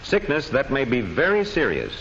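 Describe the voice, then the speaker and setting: A man narrating an old educational history film from a script, in measured, declamatory storytelling phrases. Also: medium pitch, huge pitch swings, a bit bright. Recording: dull old film soundtrack with faint noise.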